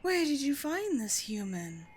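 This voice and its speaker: A woman playing a haughty, commanding vampire queen in a roleplay audio drama, speaking in deliberate, theatrical phrases with disdain for humans. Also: high voice, big pitch swings, slight background noise. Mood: amused disdain.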